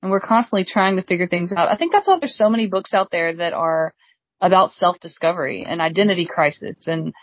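A woman's voice: on the low side, animated, a little fast, no room sound. A woman in her thirties, an author guest on a podcast, chatting casually and laughing often. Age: 30s. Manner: friendly, laughs often, frequent agreeing. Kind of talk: casual interview chat. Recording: dry, slightly dull sound.

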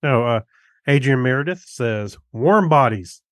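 A man with a middling pitch chats as a podcast co-host. His delivery is easygoing and somewhat halting as he reads things out, and the sound is dry with little room on it. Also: animated, no room sound. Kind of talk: casual chat, reading out listener comments.

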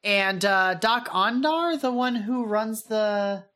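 A woman's voice: medium pitch, slow, fairly bright tone. A woman co-hosting a podcast, chatting casually and enthusiastically. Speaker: podcast co-host. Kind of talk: casual chat.

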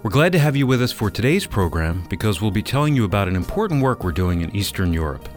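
A man's voice: lowish voice, sing-song, fast, faint music behind. A man reading a scripted introduction to a radio program as its announcer.

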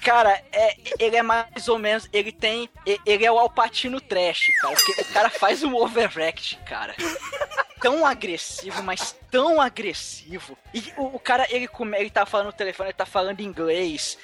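A man's voice: sits high, normal speed. Wry man mocking a movie over music. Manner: wry and mocking, with long riffs. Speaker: podcast co-host. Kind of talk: casual comedic film review chat.

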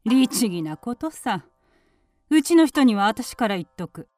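A woman's voice: on the high side, very animated, unhurried, darkish tone. A woman playing a spiteful, suspicious middle-aged aunt in a period radio drama, with a haughty, sneering, commanding manner. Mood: sly, dismissive. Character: spiteful, suspicious aunt and mistress of a village household.

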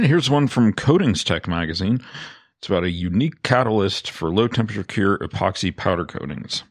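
A man's voice: low-pitched, a bit bright, no room sound, clean studio sound. A man co-hosting a podcast, presenting industry news in a casual discussion style.

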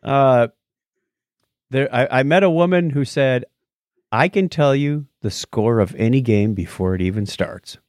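A man's voice: medium pitch, a little slow, darkish tone, smooth, no echo, clean studio sound. A man hosting a podcast in a casual, playful, joking style. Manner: jokey, playful. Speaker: podcast host. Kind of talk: casual chat, listener shout-outs.

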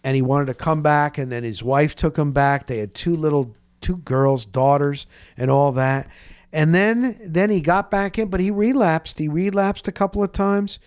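Casual sports talker, higher-pitched man, close dry sound. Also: moderate pace, clear, resonant tone, dry recording. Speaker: sports talk host. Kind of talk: casual rambling sports storytelling.

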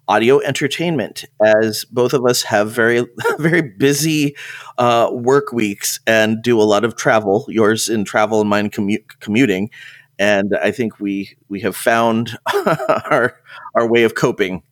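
A man's voice: fairly low pitch, unhurried. A man co-hosting a podcast in casual conversation, often repeating words and restarting as he finds his phrasing. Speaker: podcast co-host.

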